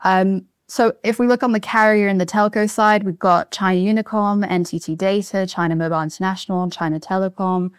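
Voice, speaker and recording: Woman guest, managing director, cheerful and businesslike, clear smooth tone, dry close recording. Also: medium pitch, fairly even intonation, a little fast, hardly any pauses, no echo. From Asia (born in Singapore, grew up in Hong Kong and Japan). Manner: upbeat, reels off long lists of names with light laughs. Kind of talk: casual podcast chat.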